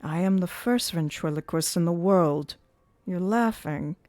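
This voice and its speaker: A woman's voice: on the low side, darkish tone, close to an everyday voice. A woman doing a gloating masked male ventriloquist. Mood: boastful. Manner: slow, taunting, swooping and gloating. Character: menacing masked male ventriloquist, mocking and theatrical.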